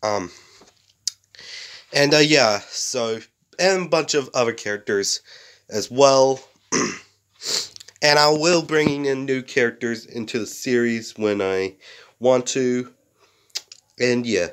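A young man, sick and a bit rough-voiced, rambling casually to a close camera in a small bedroom, full of fillers and restarts. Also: medium pitch, slow pace, long pauses, fairly bright tone. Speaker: series creator.

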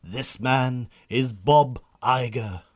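Male narrator, Northern Irish accent, medium pitch, scripted story narration. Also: very slow.

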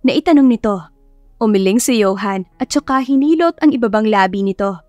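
A woman's voice: on the high side, brisk, dry recording. A woman with a Filipino accent reading a romance story as scripted, dramatic audiobook narration. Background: soft background music.

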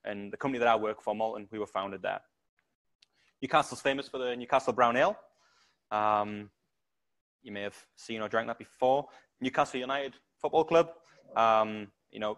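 A man's voice: lowish voice, fairly even intonation, fairly quick, fairly bright tone. Geordie man giving a rambling tech talk. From England. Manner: rambling, many ums and restarts. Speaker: conference speaker, developer. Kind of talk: casual tech talk presentation. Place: meetup room with audience.

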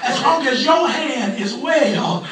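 A man's voice: extremely high pitch, animated, slow pace, distant mic, a loud crowd. A middle-aged man preaching a fervent sermon, emphatically repeating phrases and calling on his congregation. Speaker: pastor preaching. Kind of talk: fervent church sermon with call and response. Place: medium church hall, indoors. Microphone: handheld PA mic, recorded by audience phone. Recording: echoey church hall, phone in audience, noisy congregation. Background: music and congregation responses.

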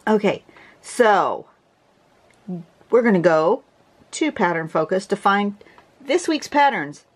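A woman with a congested voice teaching a drawing pattern in a casual, chatty way, often restarting and clearing her throat. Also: medium pitch, big pitch swings, takes it slowly. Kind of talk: casual tutorial narration. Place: home desk, camera over the drawing surface.

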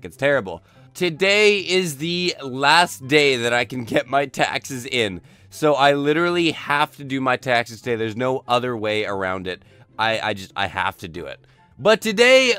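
A young man who is a bit under the weather, giving excitable, chatty, casual game commentary and repeating short exclamations. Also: mid-range voice, dry recording. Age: young adult. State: sick, says he is losing his voice. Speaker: gaming video host. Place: indoor desk setup.

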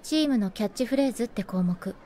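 A woman acting a reserved high school team manager in an anime radio drama, with a straight-faced, deadpan manner. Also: fast, natural voice. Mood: businesslike.

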